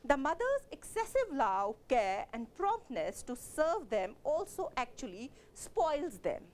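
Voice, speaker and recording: A woman's voice: sits high, very animated, slow pace. A woman with a Kashmiri accent giving a literature lecture as a college lecturer, explaining steadily with frequent fillers and self-corrections.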